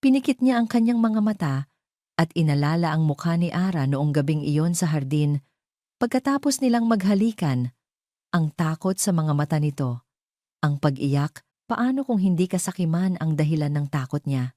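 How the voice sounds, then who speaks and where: Low-pitched Filipino woman narrating, bright voice. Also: animated, normal speed, no echo, clean studio sound. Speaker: story narrator. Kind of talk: scripted dramatic audio story narration.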